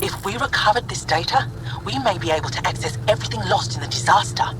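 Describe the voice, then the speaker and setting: A man's voice: high voice, expressive, quick pace. An actor playing an earnest, eager male researcher in a video game, with noise behind him. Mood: excited, grateful. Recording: noisy game ambience behind.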